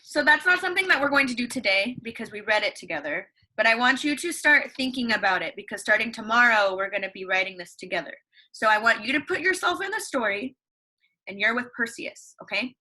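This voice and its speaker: Brief: young woman teacher, bright ringing voice, upbeat, quizzes her class and answers herself, computer mic at home. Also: a little high, very animated, brisk, rough recording. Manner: upbeat, asks questions and answers them herself. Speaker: elementary school teacher. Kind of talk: read-along lesson for young students at home. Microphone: computer microphone.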